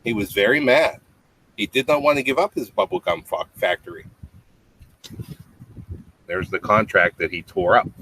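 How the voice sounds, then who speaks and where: A man's voice: on the low side, moderate pace, long pauses, a bit bright. A man in his fifties reading a children's bedtime story aloud, adding simple explanatory asides for young listeners. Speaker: storybook reader, parent. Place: small indoor home room with low tiled ceiling. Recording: clearly noisy home webcam audio with clicks.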